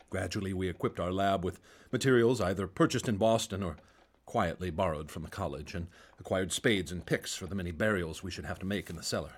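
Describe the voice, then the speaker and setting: An actor playing a nervous doctor who recalls grim events in a radio drama, earnest and hesitant. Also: male voice, sits low, husky. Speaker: narrator and assistant. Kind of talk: radio drama acting and narration.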